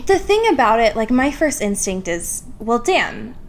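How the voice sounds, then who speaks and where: A woman's voice: fairly high pitch, very animated, a little slow, slight background noise. A woman of twenty-three co-hosting a podcast in casual book chat, informal and wry, poking fun at herself and swearing casually. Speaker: podcast co-host. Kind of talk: casual chatty book discussion.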